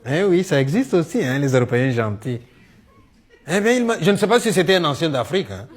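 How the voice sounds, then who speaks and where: A middle-aged man with a Congolese French accent tells comic stories in a one-man show, acting out dialogue in an animated way. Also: on the high side, a little fast, noisy background. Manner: animated storyteller, acting out dialogue, punctuating with "hein". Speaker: stage storyteller and comedian.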